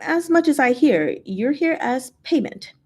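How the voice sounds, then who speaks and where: A woman's voice: high voice, big pitch swings, unhurried, a little mellow, slightly rough, pitched above the performer's own voice, exaggerated voice. A woman voicing a breezy female ghost who works in a gambling den, playful, casual and teasing, in a storybook reading.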